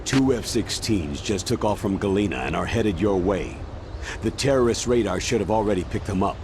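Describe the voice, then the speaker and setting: An older male colonel played by a man gives orders in a low, commanding voice. The delivery is measured and military, as in a video game drama, with clear noise behind it. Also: natural voice. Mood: urgent, grave.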